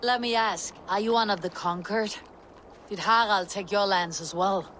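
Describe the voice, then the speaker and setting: Woman playing a guarded, steady Viking warrior. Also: medium pitch, normal speed, lightly acted. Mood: wary, probing. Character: loyal, steady Viking warrior of the Raven clan. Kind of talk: dramatic scripted game dialogue. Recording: faint ambient background noise.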